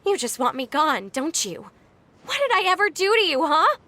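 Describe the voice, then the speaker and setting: A woman's voice: very high voice, very animated, moderate pace, bright, forceful tone, a little hiss or hum, exaggerated voice. An actress playing a defensive young girl in a video game, protesting and throwing accusations back. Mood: bitter, accusing.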